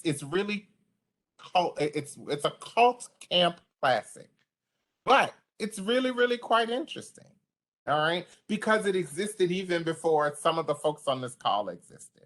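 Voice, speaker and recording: A woman with a deep voice giving an online training to service providers, speaking passionately and conversationally. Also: a bit bright. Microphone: video call.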